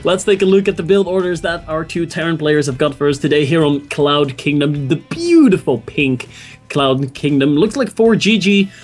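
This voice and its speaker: A man's voice: fairly high pitch, normal speed, soft background music. A man casting a video game match, introducing players and thinking aloud about strategy with easy enthusiasm. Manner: excitable, laughs often, thinks aloud. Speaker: esports caster. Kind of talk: esports play-by-play commentary.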